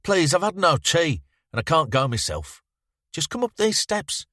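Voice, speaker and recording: A man's voice: a little high, sing-song, moderate pace, fairly bright tone, no echo, studio quality, pitched above the performer's own voice. A man voicing a menacing teenage thug. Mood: coaxing. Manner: curt, aggressive, swearing. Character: menacing sixteen-year-old male thug.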